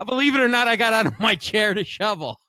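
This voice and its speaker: A man's voice: high voice, lively intonation, quick pace, bright, forceful tone, no room sound. A man co-hosting a casual live stream, playful and teasing. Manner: playful, teasing, laughs a lot. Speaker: co-host.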